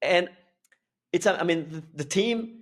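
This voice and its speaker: Easygoing man, fairly high voice. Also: slow pace. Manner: easygoing, relaxed, thoughtful with frequent restarts. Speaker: guest, language school founder. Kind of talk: casual interview talk.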